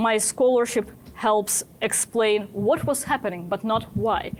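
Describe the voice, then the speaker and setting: A woman's voice: medium pitch, slight background noise. A woman from Ukraine with a Ukrainian accent, an academic researcher presenting her work and answering questions in a structured, articulate way.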